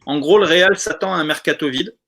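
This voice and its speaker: This is a South American man whose native language is Spanish. His voice is somewhat high for a man. He talks in a casual debating style, as a football supporter among fellow fans. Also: a little fast, creaky, a bit bright. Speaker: football supporter, guest on a live fan debate.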